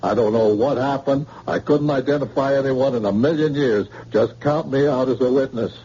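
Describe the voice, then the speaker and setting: An actor playing a frightened husband who witnessed a crime, speaking tensely from a hospital bed in an old radio drama style. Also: male voice, mid-range voice, normal speed, close to an everyday voice. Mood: bitter. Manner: tense, defensive, then defiant. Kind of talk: 1940s radio acting. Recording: dull old radio broadcast.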